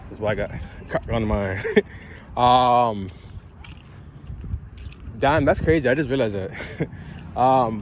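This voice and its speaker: A man's voice: mid-range voice, noisy background. A man in his twenties talking off the cuff in a loose, rambling monologue, chuckling often and checking in with the listener. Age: 20s. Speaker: solo talker.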